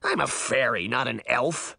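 A man's voice: medium pitch, lively intonation, a little slow, gravelly, pushed and bright, breathy edge, dry recording, heavily acted. A man voicing a boastful, indignant fairy trickster boy in a theatrical, crowing way for an audiobook. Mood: indignant, roaring. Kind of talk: audiobook character voice.

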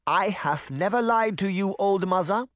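A man's voice: high voice, normal speed, a bit bright, dry recording, clean studio sound, pitched above the performer's own voice. A man voicing a firm, protective old grandmother in a storybook, speaking in an insistent, plain way. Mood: calming, resolute.

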